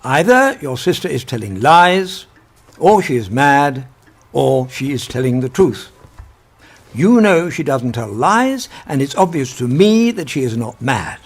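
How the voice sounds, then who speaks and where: Husky, animated old professor. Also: male voice, medium pitch. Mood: exasperated, reasoning. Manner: deliberate, formal, pedantic, gently exasperated. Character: kindly, wise, logical old professor of a country house. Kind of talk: radio drama acting.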